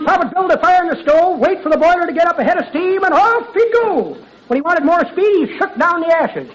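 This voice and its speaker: A man's voice: very high-pitched, sing-song, fairly quick, muffled recording. An actor playing a spry, folksy old man who spins joking tall tales in an old radio comedy. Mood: gleeful storytelling. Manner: folksy, drawling tall-tale teller. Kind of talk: 1950s radio comedy acting. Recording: old noisy radio recording, studio audience.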